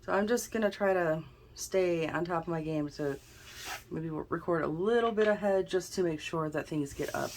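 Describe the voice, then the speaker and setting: A woman's voice: on the low side, moderate pace, slight background noise. A woman hosting a casual tarot card reading, chatty and thinking aloud, sounding a little snuffly and stuffed-up. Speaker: tarot reader, channel host.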